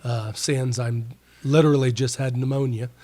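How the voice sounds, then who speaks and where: A man's voice: mid-range voice, fairly even intonation, moderate pace, warm tone. A middle-aged man, congested from a recent illness, co-hosting a hunting podcast in a rambling, folksy way with plenty of slang and restarts. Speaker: podcast co-host. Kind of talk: casual chat.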